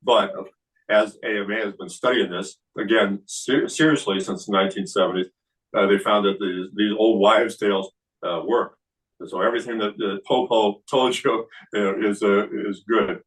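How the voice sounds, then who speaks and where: A man in his sixties, a kung fu teacher, explaining health practices in a relaxed seminar talk with many fillers and restarts. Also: fairly low pitch, normal speed, far from the mic, rough recording. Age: 60s. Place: indoor studio room.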